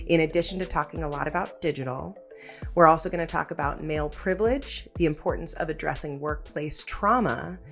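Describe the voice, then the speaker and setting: A woman hosting a podcast interview, chatting casually with plenty of "like" fillers. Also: sits low. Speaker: podcast host.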